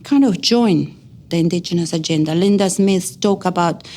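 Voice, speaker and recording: A woman's voice: lowish voice, moderate pace, warm tone. A middle-aged woman from Argentina with a Spanish accent, a native Spanish speaker, gives a personal account as an educator and researcher, speaking earnestly and leaning on key words.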